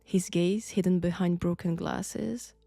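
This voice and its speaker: A woman with a French accent playing an enigmatic, ominous occult professor in an audio roleplay, speaking deliberately and in a hushed way. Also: on the low side, steady tone, gravelly, no room sound. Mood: knowing, mysterious. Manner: slow, deliberate, hushed, ominous, with whispered phrases. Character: enigmatic female occult professor, possessed vessel of an ancient entity. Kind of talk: audio roleplay acting addressed to the listener. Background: faint music.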